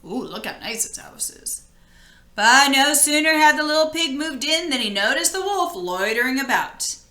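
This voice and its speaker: Animated, bright-voiced woman reading a storybook. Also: high-pitched, normal speed. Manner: animated, addresses listeners directly. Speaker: storybook reader. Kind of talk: expressive children's read-aloud. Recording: echoey room.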